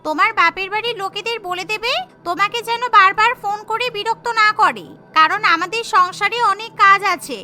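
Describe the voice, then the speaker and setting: A woman voicing a bossy, grumbling middle-aged mother-in-law in a cartoon, nagging with sharp sarcasm. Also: extremely high pitch, normal speed. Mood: nagging, annoyed. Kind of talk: Bengali cartoon voice acting. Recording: music behind the voice.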